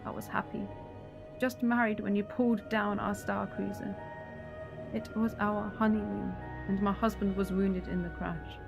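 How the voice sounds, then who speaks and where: Woman acting an earnest, creaky-voiced young widow. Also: medium pitch, flat delivery, moderate pace, music in the background, natural voice. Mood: bitter, grieving. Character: grieving, wronged widow, sisterly, earnest. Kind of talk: audio drama acting.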